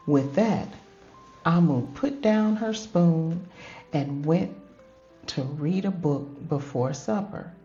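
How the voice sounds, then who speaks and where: A woman's voice: low-pitched, slow pace, mellow, lo-fi sound, soft background music. An older woman reading a picture book aloud expressively, in a grandmotherly manner, addressing young children and asking them questions.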